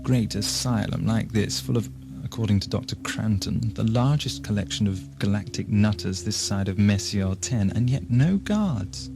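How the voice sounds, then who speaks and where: An actor playing a whimsical, inquisitive time traveller in an audio drama, musing wryly to himself with music behind him. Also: male voice, on the low side, vocal fry, exaggerated voice. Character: whimsical, curious time-travelling male investigator, wry and talkative. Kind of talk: audio drama acting, soliloquy.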